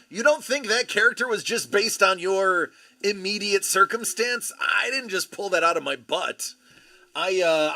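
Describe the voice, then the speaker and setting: A man's voice: sits high, sharp tone, no room sound. A man hosting a live card break stream, chatting casually and telling rambling, joking stories. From Buffalo area, USA.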